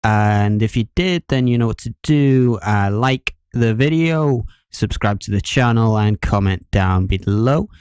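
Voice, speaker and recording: A man's voice: on the low side, a little slow, hardly any pauses, darkish tone, smooth, no echo, studio quality. A man co-hosting a podcast in a casual, chatty style. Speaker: podcast host.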